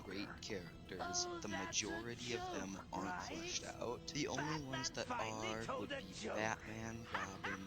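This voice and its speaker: A man's voice: on the low side, creaky. A man narrating a scripted video review in a critical, emphatic manner.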